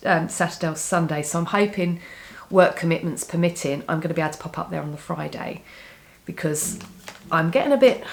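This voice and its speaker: Croaky low-voiced woman chatting casually. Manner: chatty, rambling, frequent restarts and ums. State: has a cold, slightly croaky. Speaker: knitting vlogger. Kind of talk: casual chat to camera.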